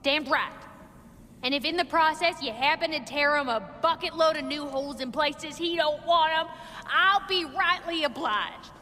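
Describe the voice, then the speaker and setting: Really high bright dwarf woman, brash n folksy, game cutscene, long reverb. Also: moderate pace. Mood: fierce, bitter. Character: feisty, blunt fantasy dwarf woman, fiercely vengeful. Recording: long reverb, faint background noise.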